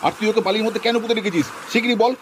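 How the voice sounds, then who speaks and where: A man's voice: high-pitched, very fast, noisy background, lightly acted. A man playing a devoted young husband searching for his wife, calling out anxiously. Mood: angry. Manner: calling out loudly, anxious and urgent. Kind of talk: amateur home-video drama.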